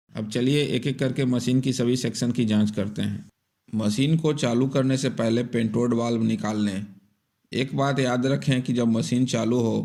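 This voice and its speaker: A man's voice: mid-range voice, a little mellow. A man giving step-by-step instructional narration for an electronics repair tutorial in a methodical, explanatory manner.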